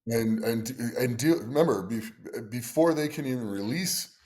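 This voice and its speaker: A man hosting a casual podcast chat, with a loud voice and an emphatic, excitable way of telling stories. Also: mid-range voice, normal speed. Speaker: podcast host and strongman judge.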